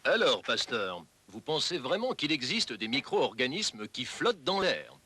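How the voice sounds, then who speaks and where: A man's voice: medium pitch, big pitch swings, unhurried, bright, forceful tone, slight background noise. A man playing a stern, dismissive scientist and sceptical colleague in a dubbed educational cartoon. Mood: exasperated, disapproving. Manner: dismissive, emphatic.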